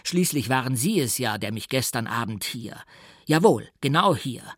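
A man's voice: mid-range voice, animated, normal speed, fairly bright tone, dry recording, clean studio sound. A man voicing a furious count in an audiobook, indignant, formal and threatening. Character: furious, threatening count trapped in another man's body. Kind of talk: dramatic audiobook character reading.

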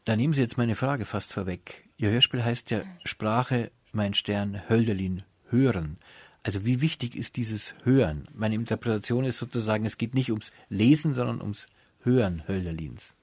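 A man's voice: on the low side, normal speed, darkish tone, no room sound. Dry-sounding male culture interviewer. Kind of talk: prepared cultural radio interview.

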